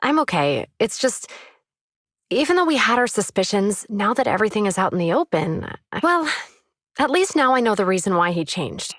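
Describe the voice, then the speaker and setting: An actress playing a composed, earnest young female officer in a video game, speaking in a measured, thoughtful, slightly hesitant way. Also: mid-range voice, very animated, fairly bright tone, no room sound, clean studio sound. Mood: shaken, subdued. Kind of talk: dramatic video game voice acting.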